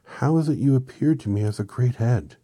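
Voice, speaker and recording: A man's voice: fairly low pitch, sing-song, moderate pace, dark tone, breathy edge, pitched above the performer's own voice. A man voicing an earnest, questioning young girl in a storybook, with an indignant edge. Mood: bewildered.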